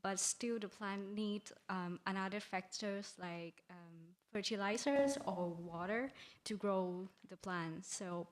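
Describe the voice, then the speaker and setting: A young woman doing doctoral research asks her question into a standing microphone. She speaks earnestly at a middle pitch, stopping now and then to find her words. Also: slow pace. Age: young adult. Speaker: PhD student in audience. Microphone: standing mic in audience.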